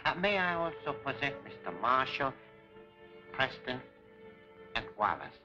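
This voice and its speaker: An actor playing a suave, menacing Japanese mastermind in a 1940s film serial, speaking formally with cold, pompous courtesy. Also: male voice, medium pitch, sing-song, measured pace. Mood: smoothly courteous. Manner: formal, grandiose, coolly courteous. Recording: dull 1940s film serial soundtrack, music.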